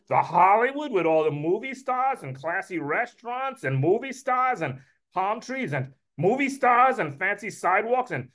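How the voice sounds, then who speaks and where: A man voicing an excitable, starstruck adult uncle with a Brooklyn accent, reading a children's book aloud. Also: high-pitched, voice pushed up, full character voice. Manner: excitable, repetitive, incredulous.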